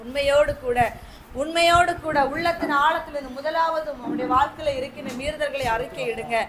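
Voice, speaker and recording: A woman's voice: high voice, fairly quick, slight background noise. A woman preacher leading a fervent prayer sermon, urging her listeners on with repeated calls. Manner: repetitive urgent exhortation. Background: congregation responding amen.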